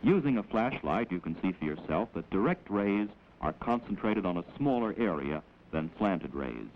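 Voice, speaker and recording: Male narrator, American, for a 1940s classroom film: lowish voice, explanatory delivery, dull vintage soundtrack with faint noise. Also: big pitch swings. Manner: measured, explanatory, instructive. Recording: dull, noisy old 16mm film soundtrack.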